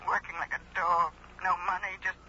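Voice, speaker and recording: A woman's voice: on the low side, level tone, fairly quick, pushed and bright. A woman playing a bitter, agitated, worn-down woman in a 1970s radio melodrama. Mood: bitter, distraught. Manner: agitated, emotional. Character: bitter, worn-down woman aged before her time, Edith. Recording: dull, faintly noisy old radio broadcast.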